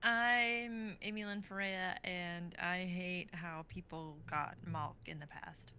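A woman's voice: on the low side, steady tone, slow pace, bright, forceful tone, slight background noise. A woman in her twenties chatting casually on a group livestream call. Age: 20s. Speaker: regular performer on the stream. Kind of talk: casual chat.

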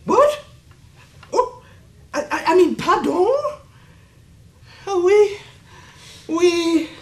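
A woman playing an overwrought, exasperated English school secretary, halting and flustered, in a radio comedy. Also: very high voice, animated, darkish tone, echoey room, exaggerated voice. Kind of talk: radio comedy drama acting.

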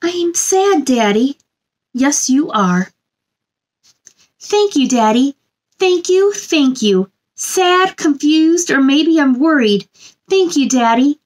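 A woman's voice: high voice, expressive, slow pace. A woman in her thirties hosting a story time for children, speaking expressively and simply to young listeners. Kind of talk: picture book read-aloud and chat to young viewers. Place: small indoor room.

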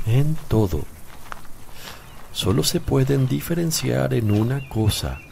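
Man playing a calm holy teacher in a radio play, mellow gravelly voice, firm and solemn. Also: medium pitch, a little hiss or hum, exaggerated voice. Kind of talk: radio drama acting.

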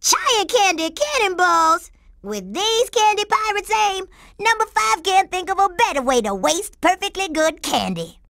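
A woman's voice: very high voice, big pitch swings, a little slow, a bit bright, no echo, exaggerated voice. A woman voicing a sassy, tough, confident young girl secret agent in a cartoon video game, with streetwise slang. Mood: cocky, indignant. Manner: streetwise slang, refers to herself in third person.

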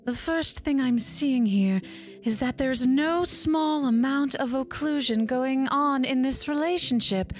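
A woman playing a poised, uncanny tarot reader in a horror audio drama, speaking in a formal, theatrical way. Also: high voice. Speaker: actor.

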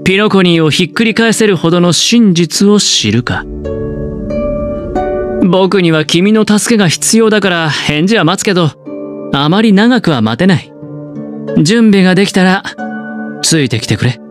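A man voicing a smug, scheming young gambler in a Japanese anime game, with smooth, teasing, theatrical delivery. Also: sits high, expressive. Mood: coaxing, persuasive. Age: young adult. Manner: smooth, theatrical, teasing, drawn-out dramatic pauses. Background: background game music.

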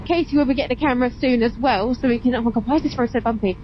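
A woman's voice: a little high, very fast. A woman chatting casually and explaining things as she hosts a vlog, speaking through a helmet intercom mic while riding. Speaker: motovlog host. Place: on a motorbike on open roads. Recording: muffled helmet intercom mic with engine noise. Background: engine and road noise.

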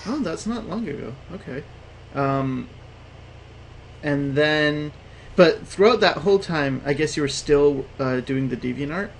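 A man hosting a casual interview over a video call, asking questions hesitantly with many pauses and short reactions. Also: fairly high pitch, animated, vocal fry, slight background noise, low-quality recording. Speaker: interviewer, channel host.

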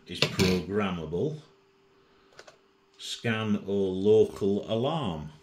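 A man giving an informal software demonstration as a tutorial presenter, methodical and thinking aloud, recorded indoors at a desk. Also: low-pitched, echoey room.

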